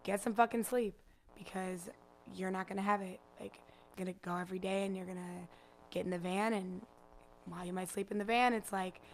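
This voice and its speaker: A raspy-voiced young woman from Chicago, chatting loosely as a guest musician, full of fillers and profanity. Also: medium pitch, fairly even intonation, a little fast, a little hiss or hum. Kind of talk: casual interview chat.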